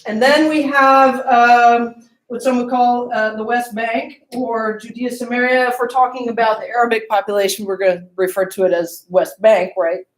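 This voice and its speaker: A woman's voice: a little high, echoey room, poor audio. A woman giving a classroom lecture, often asking the class questions and checking in with "okay?" and "right?".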